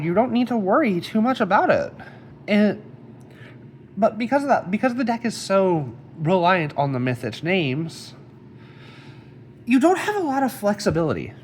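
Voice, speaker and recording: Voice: mid-range voice, slight background noise. A card game deck reviewer with a slight cold, casually explaining card effects one by one.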